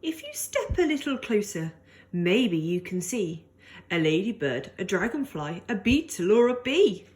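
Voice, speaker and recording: A woman reading a rhyming picture book aloud to young children in an expressive, sing-song way. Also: mid-range voice, measured pace. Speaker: story reader for pre-school children.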